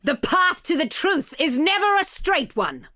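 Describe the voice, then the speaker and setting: A ruthless game villainess, high and forceful. She is imperious and theatrical, drawing out her taunts slowly with wide swings in pitch. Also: very animated, full character voice. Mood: cool taunting. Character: arrogant, ruthless female villain fighter, scornful and fervent. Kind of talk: scripted video game combat lines.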